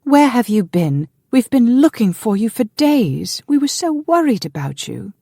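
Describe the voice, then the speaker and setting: A woman voicing a small, composed noblewoman in a storybook, sharp-tongued and pointed. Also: lowish voice, big pitch swings, moderate pace, slightly rough, dry recording, studio quality, pitched above the performer's own voice, full character voice. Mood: relieved, anxious. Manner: cool, pointed.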